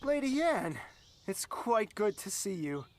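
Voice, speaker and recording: A woman playing a courteous young male royal in a fantasy audio drama, formal and soft-spoken. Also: mid-range voice, very animated, takes it slowly, slight background noise. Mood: polite, reserved.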